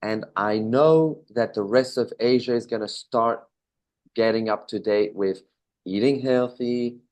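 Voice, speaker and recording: A man's voice: mid-range voice, measured pace. Belgian man, easygoing interview guest. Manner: relaxed, with fillers and self-corrections. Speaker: interview guest, business owner. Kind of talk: casual interview answers.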